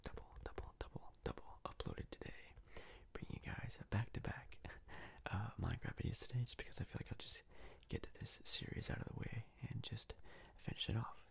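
A man giving soft-spoken whispered ASMR game commentary in a breathy, husky voice, rambling hesitantly and repeating words. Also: fairly low pitch, wildly swooping pitch, moderate pace. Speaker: gaming video host.

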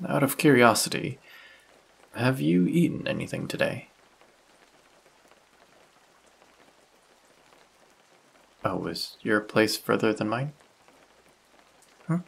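A man playing a kindly, courteous vampire in an intimate audio roleplay, speaking softly and solicitously to the listener with a gentle teasing touch. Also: mid-range voice, vocal fry, husky, heavily acted, low-quality recording. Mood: warm, coaxing. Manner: soft-spoken, solicitous, politely old-fashioned. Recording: close voice with rain sound effects. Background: rain sound effects.

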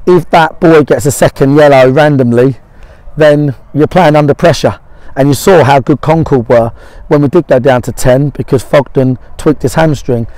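A man's voice: medium pitch, moderate pace, darkish tone, dry recording, rough recording. A middle-aged man giving casual post-match talk as a football manager, blunt and matey, repeating short phrases for emphasis. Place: outdoors on an empty football pitch by a small stand.

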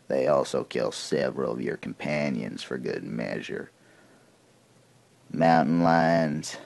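Very deep, gravelly old-frontier soldier, acted by a man. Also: no room sound, full character voice. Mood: grim warning. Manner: deliberate, folksy storytelling. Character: grim, weathered male army corporal of an alternate 1880s America. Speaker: narrator of a soldier's account. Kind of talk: dramatic first-person audio drama monologue.

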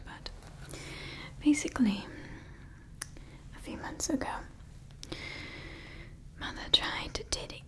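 A woman voicing a scheming female evil scientist who calls herself Mother, in a slow, soft, conspiratorial whisper for ASMR roleplay on a close microphone. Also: a little high. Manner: slow, intimate, conspiratorial ranting. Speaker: ASMR creator.

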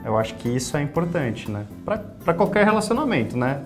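A man in his thirties with a São Paulo Brazilian accent, talking casually in an interview with lively gestures and frequent tag questions. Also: fairly high pitch, a little fast, over music. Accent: Brazilian Portuguese, São Paulo. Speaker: YouTube creator. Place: small office studio.

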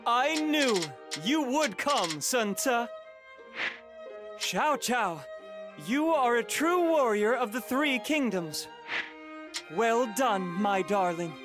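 A man's voice: extremely high pitch, animated, takes it slowly, exaggerated voice. A man voicing a noble, cultured, proud young warrior-strategist in a video game, with formal and earnest delivery. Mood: proud praise. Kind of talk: theatrical video game dialogue. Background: music.

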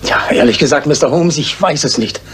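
A man's voice: a little high, fairly quick, faint music behind, close to an everyday voice. A man acting an old, reclusive retired judge, defensive and fearful, in a German radio crime play. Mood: anxious, confiding. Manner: stern, defensive. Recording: faintly noisy old radio play recording.

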